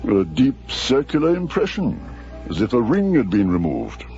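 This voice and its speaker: An actor playing an earnest, proper doctor, a detective's sidekick in a radio drama. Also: male voice, medium pitch, wildly swooping pitch, normal speed, mellow. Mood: studious. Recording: dull radio recording, faint music.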